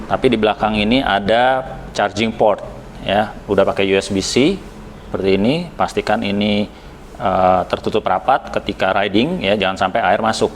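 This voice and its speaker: A man's voice: fairly low pitch, moderate pace, slight background noise. An Indonesian man giving a casual, conversational product review, hesitating as he goes and mixing in occasional English words. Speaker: product reviewer from a helmet shop.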